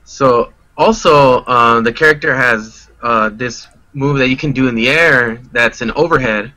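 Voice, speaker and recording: A man's voice: medium pitch, measured pace, bright, forceful tone, dry recording, rough recording. A man chatting casually and analysing a game as a podcast guest, in slangy, conversational language. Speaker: guest player on a gaming podcast.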